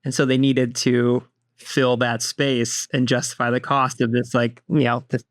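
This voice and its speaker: A young man hosts a podcast in a chatty, self-deprecating way and laughs often. His voice sits at a middle pitch. The recording is dry and close. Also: little pitch movement, unhurried, no echo. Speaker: podcast host. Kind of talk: casual podcast chat.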